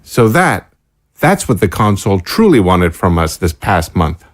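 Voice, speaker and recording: Man playing an indignant scientist, swooping pitch. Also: sing-song, a little slow. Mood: betrayed, disbelieving. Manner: sputtering, incredulous, formal wording. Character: indignant, principled male research scientist, betrayed and stubborn. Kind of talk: audio drama acting.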